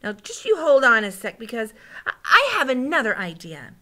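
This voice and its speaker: A woman voicing an indignant, excitable and determined young girl from a storybook. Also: fairly high pitch, moderate pace, pitched above the performer's own voice, exaggerated voice. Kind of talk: storybook character read aloud.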